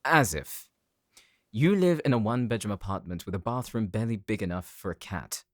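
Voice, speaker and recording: Man playing a sardonic, animated, lowish-voiced grump. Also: fairly quick, no echo, studio quality, close to an everyday voice. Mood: sarcastic, scolding. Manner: sarcastic, scolding. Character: exasperated, sardonic but responsible host to guests. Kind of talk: dramatic audio drama acting.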